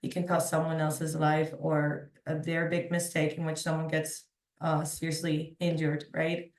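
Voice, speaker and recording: A woman speaks as a translation expert on a web panel, earnest and emphatic. Her voice sits a little low. She sounds distant from the microphone, with a roomy echo around her. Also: completely flat delivery, unhurried, darkish tone. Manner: earnest, emphatic, repeats words for stress. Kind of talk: webinar panel answer.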